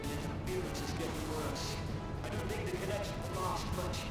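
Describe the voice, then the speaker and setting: An actor playing a gruff but caring military ally in a video game, urgent and informal as he briefs a comrade. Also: high voice, brisk, over loud music, lightly acted. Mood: worried.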